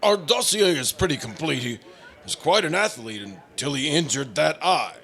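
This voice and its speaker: An actor playing an excitable agency official in a radio drama, urgent and exasperated, given to barking orders. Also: male voice, fairly high pitch, normal speed, vocal fry. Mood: earnest. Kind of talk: old-time radio drama acting.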